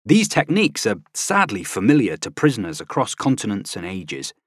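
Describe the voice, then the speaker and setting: A man's voice: on the low side, huge pitch swings, moderate pace, husky, no room sound, clean studio sound. A man reading scripted nonfiction as an audiobook narrator, with a dramatic, emphatic delivery.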